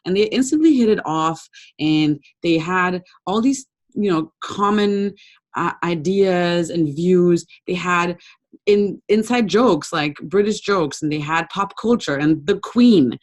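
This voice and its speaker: A young woman from Brazil giving an informal webinar talk over a video call, conversational and relaxed with frequent ums. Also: on the low side, unhurried. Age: young adult. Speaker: webinar presenter.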